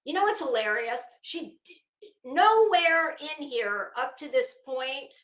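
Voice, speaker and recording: A woman's voice: sits high, expressive, takes it slowly, fairly bright tone, roomy sound. A woman hosting a live stream, reading aloud dramatically with mocking, drily sardonic commentary.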